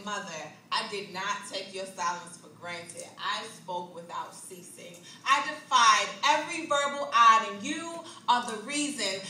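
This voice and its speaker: A woman delivers spoken word poetry live, her voice bright and a little high. She moves rhythmically between hushed lines and loud, forceful declamation. The recording is roomy and distant, with a faint hum of the club behind her. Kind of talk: slam poetry performance. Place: jazz club stage, live. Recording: echoey club recorded from far off.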